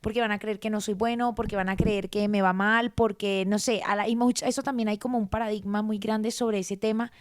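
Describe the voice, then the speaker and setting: A woman, explaining personal finance in a casual, animated conversation and repeating words for emphasis. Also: medium pitch, fairly quick, hardly any pauses. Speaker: guest.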